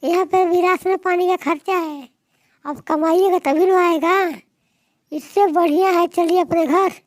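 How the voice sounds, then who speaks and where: A woman's voice: extremely high pitch, fairly even intonation, unhurried, dry recording. A woman voicing a headstrong young married daughter in a moral-story cartoon, pleading and scolding in plain words. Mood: aggrieved.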